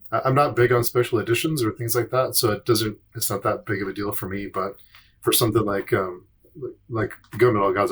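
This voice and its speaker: A man hosting a casual podcast chat, speaking in a halting, tentative way. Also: fairly low pitch, fairly bright tone, slight background noise. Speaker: podcast host.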